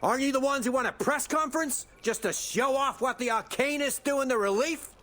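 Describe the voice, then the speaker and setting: A man's voice: extremely high pitch, brisk, sharp tone. A man playing a brusque, suspicious official in a video game, with a sneering, demanding manner. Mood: contemptuous. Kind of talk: scripted video game voice acting. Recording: faint background noise.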